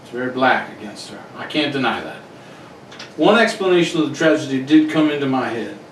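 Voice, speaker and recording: A man's voice: mid-range voice, lively intonation, brisk, close to an everyday voice. Guy playing proud defensive rich husband, blunt radio drama acting, far from mic, bit noisy. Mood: grave. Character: proud, defensive wealthy gold-mining husband. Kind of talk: amateur radio-play acting. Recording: roomy, distant recording with faint noise.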